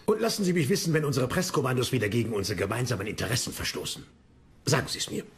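A man playing a commanding, reassuring English lord in a dubbed period docudrama, brisk and authoritative. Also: medium pitch, very animated.

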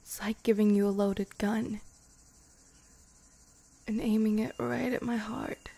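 A woman voicing a loving young vampire girlfriend in an intimate, improvised ASMR roleplay, tender and hushed, often slipping into whispers, close on the microphone. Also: medium pitch, flat delivery, slow pace, vocal fry. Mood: tender, confessional. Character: loving young vampire girlfriend, playful yet afraid of loss.

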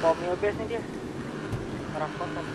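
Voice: mid-range voice, a little fast. A gamer talking casually in an online game. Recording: noisy game voice chat, vehicle sounds.

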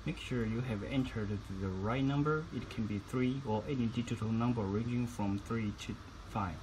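Guy doing a how-to product demo, medium pitch, walks thru steps carefully, noisy room behind him. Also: moderate pace. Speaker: product demonstrator. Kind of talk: instructional tutorial narration.

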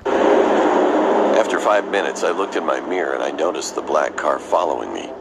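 A man narrating a first-person horror story in a measured, scripted way. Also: rumbling low, background noise. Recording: narration under loud music, played back from a video.

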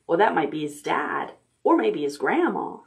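A middle-aged woman hosting a children's storytime, reading aloud in simple, expressive language for toddlers. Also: lowish voice, normal speed, vocal fry. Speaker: library storytime host. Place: indoor room, recorded on video.

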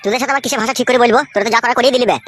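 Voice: mid-range voice, fairly even intonation, racing, a bit bright, slight background noise, lightly acted. A performer voicing an angry, stubborn, foul-mouthed young son-in-law who scolds and argues in a comedy cartoon. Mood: indignant. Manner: scolding, argumentative, crude.

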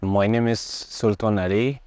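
Slow, soft-spoken young Afghan man. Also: lowish voice, fairly even intonation, dry recording. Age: 20s. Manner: slow, careful non-native speech with pauses. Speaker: filmmaker. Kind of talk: documentary interview.

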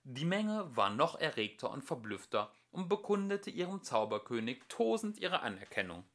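A man reading a fairy tale aloud as an amateur audiobook narrator, with expressive delivery. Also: mid-range voice, normal speed.

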